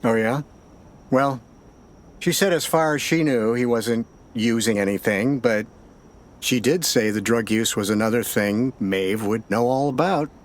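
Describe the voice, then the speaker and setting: An actor playing a steady, probing American sheriff's detective in an audio drama, questioning in a measured, matter-of-fact way. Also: male voice, mid-range voice, lightly acted. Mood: polite, businesslike.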